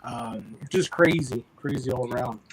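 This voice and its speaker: A man in his thirties joining by phone, speaking hesitantly in halting bits. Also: medium pitch, poor audio. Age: 30s. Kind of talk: casual chat.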